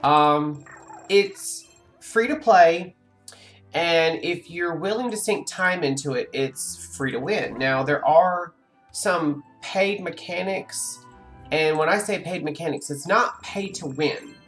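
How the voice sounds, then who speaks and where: A man's voice: on the high side, takes it slowly, fairly bright tone, soft background music. A man in his forties, congested, chatting casually on a live stream while playing a game, rambling with many ums and restarts. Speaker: gaming streamer. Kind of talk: casual live-stream chat over gameplay. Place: home room with a webcam.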